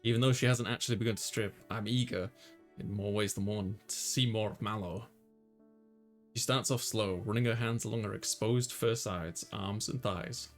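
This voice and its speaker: A man's voice: lowish voice, steady tone, moderate pace, faint music behind, close to an everyday voice. Man reading as easygoing young male narrator. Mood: descriptive. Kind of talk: first-person visual novel narration.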